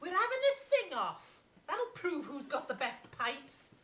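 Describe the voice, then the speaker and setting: A woman's voice: high voice, huge pitch swings, measured pace, full character voice. An actress playing a coarse, foul-mouthed, bossy woman, bickering with her sister in a live sitcom table-read. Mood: boastful. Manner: brash, crude, shouting. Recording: roomy live theatre sound.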